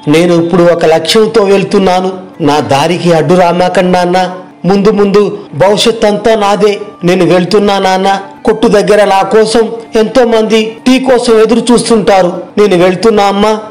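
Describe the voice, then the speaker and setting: A man acting an earnest high-voiced young tea seller. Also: steady tone, moderate pace, a little mellow, close to an everyday voice. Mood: defiant, determined. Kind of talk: acted moral story dialogue.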